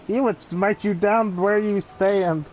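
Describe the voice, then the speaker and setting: A man livestreaming a game with casual, sparse, halting commentary. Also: high-pitched, steady tone, a little mellow. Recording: dull lo-fi mic, game music behind.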